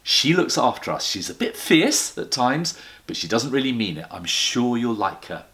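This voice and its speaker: A man's voice: mid-range voice, very animated, normal speed, a bit bright. A man voicing a friendly, reassuring young girl in a children's storybook.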